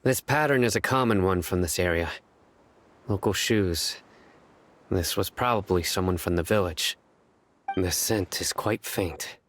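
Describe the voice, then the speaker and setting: An actor playing a stern, stoic young lawman in a video game, speaking in a terse, measured, deadpan way. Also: low voice, slight background noise. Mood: analytical, calm.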